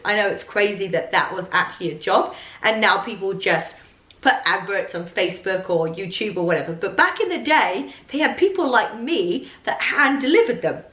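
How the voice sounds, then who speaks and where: A woman with a hoarse, husky voice from losing it, hosting a podcast in an upbeat, chatty way and coughing now and then. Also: mid-range voice, fairly bright tone. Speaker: podcast host. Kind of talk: casual chatty podcast monologue. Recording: roomy echo.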